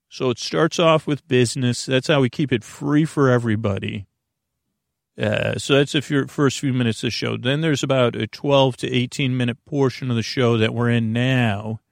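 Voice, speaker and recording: A man with a gravelly, fry-laden voice records very close and dry. He rambles through an unscripted bedtime podcast introduction, circling back on himself and restarting his sentences as he goes. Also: mid-range voice, dry recording. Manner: voices imagined listener objections and answers them. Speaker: podcast host.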